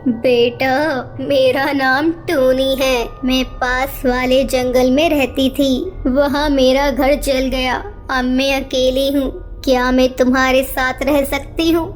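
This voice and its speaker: A woman voicing a kindly old mother bird, affectionate and unhurried, in cartoon story dialogue. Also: high voice, level tone, faint music behind. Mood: gentle, pleading.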